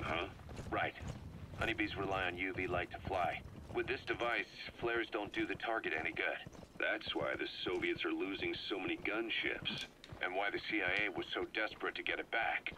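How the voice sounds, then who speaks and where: Man acting gruff army commander in a video game, really low voice, serious briefing, noisy game sounds behind. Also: natural voice. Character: gruff male military commander briefing over cassette tape. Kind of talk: scripted video game dialogue.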